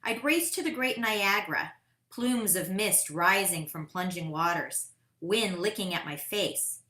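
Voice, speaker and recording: A woman voicing an eager, determined boy who tells his own kite-flying tale in a dramatic, deliberate picture-book read-aloud. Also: medium pitch, expressive, bright, forceful tone. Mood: wistful, eager. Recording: roomy, echoing sound.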